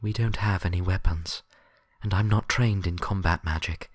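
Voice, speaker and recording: A man's voice: low voice, fairly even intonation, moderate pace, dry recording, clean studio sound. A man voicing a stern, pragmatic female unicorn librarian in a story, reasoning firmly and grimly. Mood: grave, persuasive. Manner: sharp-edged, reasoning firmly.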